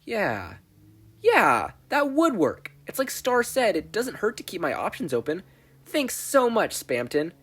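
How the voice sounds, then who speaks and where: A man's voice: sits high, wildly swooping pitch, unhurried, fairly bright tone, husky, voice pushed up, full character voice. A man voicing an earnest, hesitant teenage boy who talks politely in a story reading. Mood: relieved.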